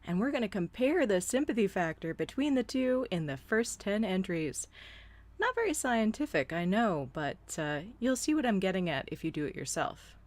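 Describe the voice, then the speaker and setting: A woman's voice: medium pitch, big pitch swings, moderate pace, a little mellow. A woman delivering scripted opinion commentary in a blunt, sardonic manner, casually profane. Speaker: host of a solo commentary show.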